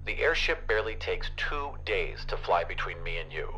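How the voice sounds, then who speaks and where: Grave, earnest adult son for an audio drama, acted by a male reader: hushed, pleading, intense. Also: low-pitched, big pitch swings, normal speed, faint music behind, voice pushed down, full character voice, rough recording. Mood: yearning. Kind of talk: audio drama story reading.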